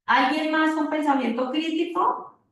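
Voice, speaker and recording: A woman's voice: high voice, a little slow, a bit bright. A woman leading an online class discussion, prompting the group with short, encouraging questions. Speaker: online session moderator. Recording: echoey room far from mic.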